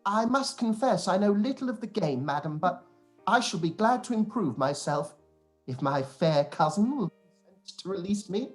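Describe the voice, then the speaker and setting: A man's voice: sits high, animated, moderate pace. A man acting a pompous, fawning clergyman in a period radio play, speaking formally, with music behind. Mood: obsequious. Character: pompous, fawning clergyman cousin, boastful of his patroness.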